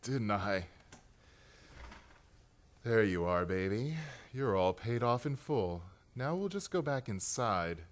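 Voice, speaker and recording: Guy playing smug menacing crook in a radio thriller, real slow talking, mocking charm. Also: on the low side, animated. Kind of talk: suspense radio drama acting.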